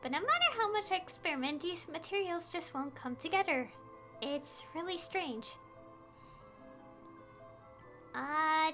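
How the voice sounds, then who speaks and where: A woman reading aloud a puzzled, earnest villager man in a video game, a little haltingly. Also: very high-pitched, bright, forceful tone, exaggerated voice. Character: puzzled, earnest farmer villager man tinkering with homemade fertilizer.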